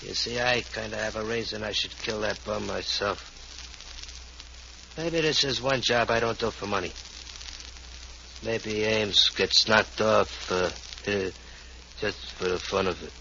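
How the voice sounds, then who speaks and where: An actor playing a menacing gang boss with slangy, mock-courteous streetwise phrasing in an old-time radio crime drama. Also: male voice, fairly low pitch, steady tone, a little slow, creaky, long pauses. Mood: quietly menacing. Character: male gangland boss, folksy menacing tough guy. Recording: muffled, hissy old radio recording.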